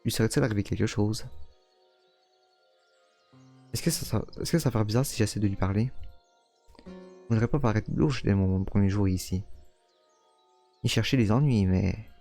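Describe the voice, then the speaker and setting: A man's voice: on the low side, moderate pace, long pauses, warm tone, soft background music. A man voicing an earnest young foreign woman in a visual novel who stammers when flustered. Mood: musing. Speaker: streamer reading a visual novel. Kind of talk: let's play voice reading.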